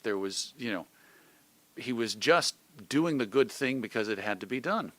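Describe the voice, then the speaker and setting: Man, middle-aged, bright voice, dry sound, reflective interview talk, self-deprecating, halting. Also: medium pitch, expressive, dry recording. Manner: wry, self-deprecating humor. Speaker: radio show host being interviewed.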